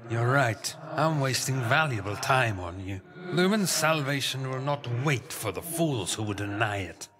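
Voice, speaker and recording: An actor playing a haughty, scornful, scheming duke in a fantasy video game, speaking in a theatrical, measured, condescending way. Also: male voice, mid-range voice, expressive, lightly acted. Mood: grim, resolute. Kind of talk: dramatic video game character acting.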